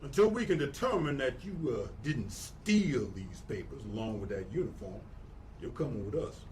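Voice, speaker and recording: An actor playing a smug, bullying small-town Southern police chief from the 1940s in an audio drama, with a taunting drawl. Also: male voice, mid-range voice, animated, moderate pace, darkish tone, a little hiss or hum, natural voice. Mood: taunting, threatening.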